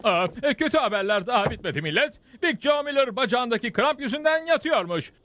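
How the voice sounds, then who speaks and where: A man's voice: extremely high pitch, very animated, fast, a bit bright, soft background music, exaggerated voice. A man voicing a theatrical small-town rodeo announcer in a cartoon dub, proclaiming to a crowd. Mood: awkward, flustered. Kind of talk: Turkish cartoon dub acting.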